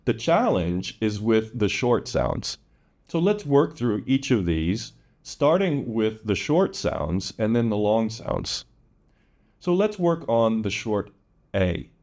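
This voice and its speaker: A man teaching English vowel sounds, modeling each word slowly and repeating it with drawn-out, exaggerated vowels. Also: on the low side, big pitch swings, a little mellow.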